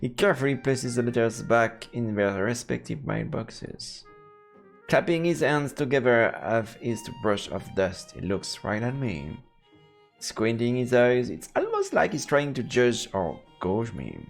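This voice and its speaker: A man's voice: big pitch swings, a little slow, vocal fry. A man doing playful let's play commentary, reading a visual novel aloud and throwing in silly exclamations. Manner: playful, breaks into silly high-pitched exclamations. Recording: game music behind the voice.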